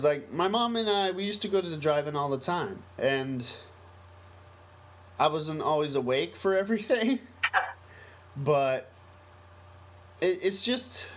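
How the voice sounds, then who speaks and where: A man's voice: a little high, a bit bright. An American man co-hosting a casual podcast, talking in a rambling, emphatic, enthusiastic way. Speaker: podcast co-host. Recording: noisy amateur podcast recording.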